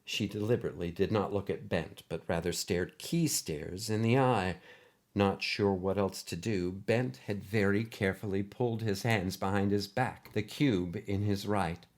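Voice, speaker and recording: A man's voice: lowish voice, normal speed. A man reading a scripted adventure story as its narrator.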